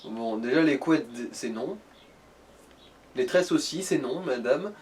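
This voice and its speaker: A man's voice: mid-range voice, lively intonation, slow, darkish tone, a little hiss or hum. A man giving casual, teasing, slangy commentary on a video game as a co-commentator. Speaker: let's play co-commentator. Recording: voice far from the mic.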